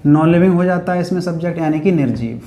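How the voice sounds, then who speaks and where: A man's voice: a little high, fast talker, warm tone. A man with a North Indian accent teaching grammar step by step, repeating key points and answering his own questions. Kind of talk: classroom lesson, explaining step by step. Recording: echoey room with faint background noise.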